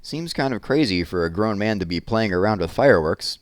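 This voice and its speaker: A man's voice: lowish voice, animated, fairly quick, no room sound, studio quality, lightly acted. Man putting on a brusque, skeptical policeman. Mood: suspicious.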